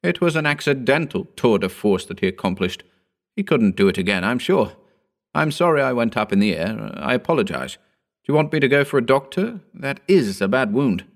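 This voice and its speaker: A man voicing a vain, scornful, excitable male horror writer in a pompous, declamatory audiobook style. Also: mid-range voice, normal speed, voice pushed up. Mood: apologetic, wistful. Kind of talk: dramatic audiobook character reading.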